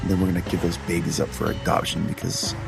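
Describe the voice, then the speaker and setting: A man's voice: low-pitched, level tone, fast talker, gravelly. A man hosting a comedy podcast, narrating a scripted first-person tale in a theatrical, earnest storytelling way. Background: music bed under the voice.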